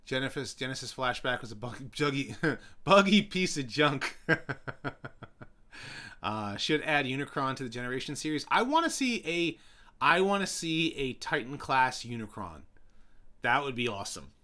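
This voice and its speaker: A young man hosting a casual live stream, rambling with many fillers and restarts as he answers viewers, his voice getting hoarse. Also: medium pitch, sing-song, moderate pace, sharp tone. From New York City.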